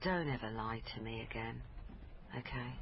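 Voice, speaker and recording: An actress with a British accent playing a probing, authoritative police liaison officer in a naturalistic radio drama. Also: female voice, extremely low pitch, moderate pace, dull audio, a little hiss or hum. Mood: stern. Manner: pointed, interrogating. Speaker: actor in a radio crime drama.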